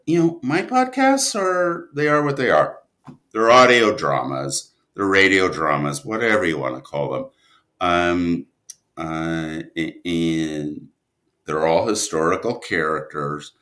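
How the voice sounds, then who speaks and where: A man speaking as an interview guest, a writer telling stories conversationally in an animated but halting manner. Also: fairly low pitch. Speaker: guest, audio drama writer and producer.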